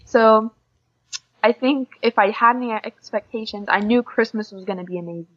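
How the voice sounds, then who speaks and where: A woman's voice: on the high side, normal speed. An eighteen-year-old woman from Oklahoma with an American accent, giving casual answers as an interview guest. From Oklahoma, United States. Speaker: interview guest, exchange student. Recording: dull lo-fi podcast audio.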